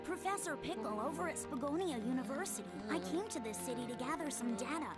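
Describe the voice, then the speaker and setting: An earnest young fox boy sidekick from a video game. The voice is high and animated, eager in manner, over loud music. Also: fast. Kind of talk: video game cutscene acting.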